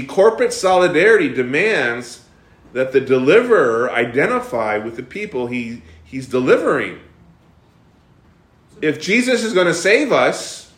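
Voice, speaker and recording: A man leading a Bible study, explaining a passage with lively questions to the class and frequent fillers and restarts. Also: on the high side, reverberant. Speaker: bible teacher. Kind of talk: interactive bible study teaching.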